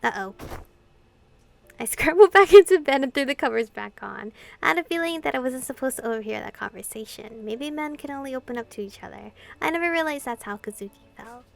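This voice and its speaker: A woman's voice: sits high, lively intonation, fairly quick, vocal fry, no echo. A young adult woman playing a visual novel, reading dialogue and narration aloud expressively with casual, giggly reactions. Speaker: gaming content creator. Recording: game music behind the voice.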